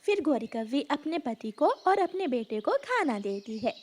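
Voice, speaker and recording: A woman's voice: high voice, big pitch swings, normal speed, darkish tone, slight background noise. A woman narrating a scripted children's story.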